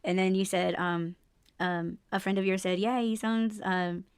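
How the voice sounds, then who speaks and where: A woman hosts a casual podcast chat, loud and quick to laugh, cursing freely. Her voice has little bass and sits at a middle pitch. The recording is dry and close. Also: steady tone, clear, resonant tone, no room sound. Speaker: podcast host.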